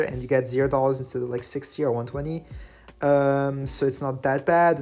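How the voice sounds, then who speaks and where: A man whose first language is French, talking through his game replays in a relaxed voice-over full of fillers and self-corrections. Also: medium pitch. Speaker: gaming channel host. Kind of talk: gameplay commentary voice-over. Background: faint background music.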